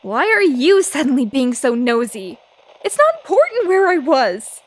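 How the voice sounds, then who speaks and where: A woman's voice: sits high, very animated. An actress playing a feisty, easily flustered young woman reporter in a 1940s-style radio drama. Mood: defensive. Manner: brash, quick to get flustered. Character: feisty young female newspaper reporter, flustered but defiant. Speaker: reporter filling in as radio host.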